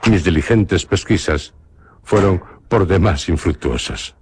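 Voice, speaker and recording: A man with a Spanish accent narrating a radio drama in a measured, literary storytelling manner. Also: low voice, slight background noise. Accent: Spanish from Spain.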